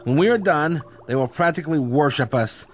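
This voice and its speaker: A man's voice: a little high, fairly quick, slight background noise, natural voice. A man acting as a bossy, impatient ringleader with grandiose schemes in a comedic radio drama. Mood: excited scheming.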